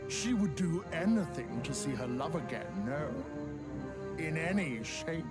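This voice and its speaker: A man's voice: fairly high pitch, animated, unhurried. A man playing a sly, mock-polite old necromancer in a video game cutscene, speaking in a theatrical, insinuating way. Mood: mocking glee. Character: sly old necromancer, smooth-talking, mockingly gleeful schemer. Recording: music behind the voice.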